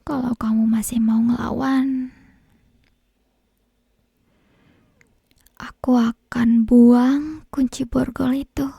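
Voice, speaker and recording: A woman playing an obsessive, possessive yandere kidnapper in an ASMR roleplay, whispering slowly and breathily with menacing sweetness, close to a binaural microphone. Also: high voice, warm tone, husky, dry recording, heavily acted. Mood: quiet menace. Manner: slow, lingering, menacingly sweet delivery. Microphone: close binaural ear microphone.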